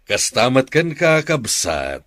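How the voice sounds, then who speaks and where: A man's voice: medium pitch, huge pitch swings, slow, a bit bright, dry recording, clean studio sound, full character voice. A man playing a genial, courteous, easygoing married employer in a radio drama. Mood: genial greeting.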